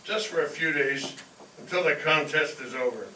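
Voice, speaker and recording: A man's voice: a little high, moderate pace, sharp tone. An actor playing an eager, bossy, bean-obsessed father in old-time radio comedy style. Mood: scheming, insistent. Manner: keeps muttering numbers while counting. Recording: echoey distant live stage, noisy room.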